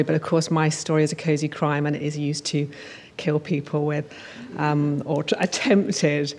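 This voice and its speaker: Expressive woman reading her fiction on stage. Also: sits low, a little hiss or hum. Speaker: writer reading own work on stage. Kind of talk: live literary reading of first-person crime fiction. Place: on stage at a live showcase event in a hall.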